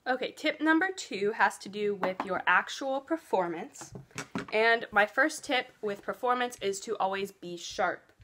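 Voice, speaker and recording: A woman's voice: lively intonation, measured pace, pushed and bright. A teenage girl vlogger giving casual tips to camera, chatty and full of "like" and "you know". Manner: chatty, lots of "like" and "you know", swoops up in pitch for emphasis. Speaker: beauty and cheer vlogger.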